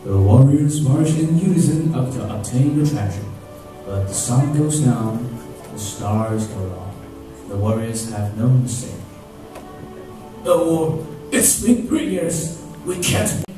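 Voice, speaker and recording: A man's voice: medium pitch, animated, slow. A man playing a dramatic narrator of a heroic tale in a theatrical scripted style. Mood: solemn. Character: dramatic male storyteller of a heroic quest. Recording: echoey hall from far, music behind.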